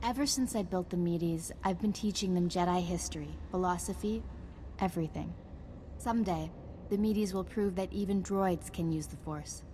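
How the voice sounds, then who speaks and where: An actress playing an earnest, idealistic female Jedi scholar in a video game, speaking in a measured, articulate way. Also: lowish voice, dark tone, a little hiss or hum, close to an everyday voice. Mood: earnest, enthusiastic. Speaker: Jedi ally briefing a mission. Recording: music behind the voice.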